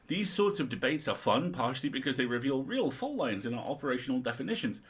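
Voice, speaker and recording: A man's voice: medium pitch, very animated, fast talker, husky. A middle-aged man co-hosting a livestream, reading a humorous article aloud with wry, playful and sweary asides.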